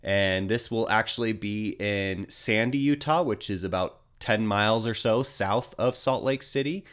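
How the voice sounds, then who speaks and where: Deep-voiced, slightly nasal American man with a cold. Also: a little slow, fairly bright tone. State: getting over a cold. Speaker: hockey news host. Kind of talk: casual sports news commentary.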